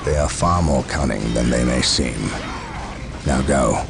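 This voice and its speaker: An actor playing a stern veteran space marine commander in a video game, with formal, authoritative military diction. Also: male voice, extremely low pitch, unhurried, vocal fry, music in the background, full character voice. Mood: firm, stern. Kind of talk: video game cutscene acting.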